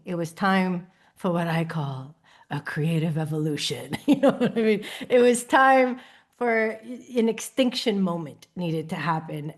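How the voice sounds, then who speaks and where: New York woman, lively storyteller. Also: on the low side. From New York City. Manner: expressive storyteller, lively rises and laughs. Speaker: podcast guest, creative studio founder. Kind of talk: conversational interview. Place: lives in Copenhagen.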